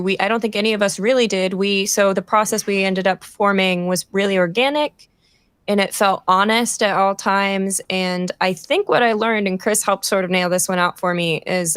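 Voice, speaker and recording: A woman's voice: medium pitch, a bit bright, clean tone, no echo. A woman reflecting casually and a little hesitantly on a creative process, as a theater director.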